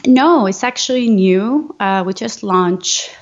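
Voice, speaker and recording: A woman from Venezuela, a designer speaking in a soft, hesitant way with many ums. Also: medium pitch, expressive, takes it slowly, dull audio. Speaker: graphic designer, guest. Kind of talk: casual interview.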